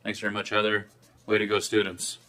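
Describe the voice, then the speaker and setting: A man chairing a council meeting, moving through motions in a brisk, friendly, procedural way. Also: fairly low pitch, completely flat delivery, pushed and bright. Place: council chamber.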